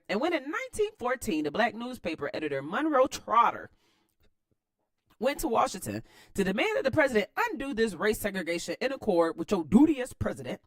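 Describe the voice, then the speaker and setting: An American woman hosts a read-aloud with casual running commentary. Her delivery is expressive and lively, with wide swings in pitch around a mid-range voice. The slang comes easily, and the sound is dry, with almost no room echo. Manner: expressive, slangy, informal asides. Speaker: host of a read-aloud series.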